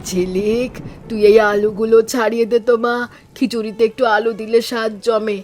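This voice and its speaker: A woman voicing a caring, worried village aunt in an animated story, speaking tenderly and in a measured, homely way. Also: on the high side, soft background music, close to an everyday voice. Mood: gently advising.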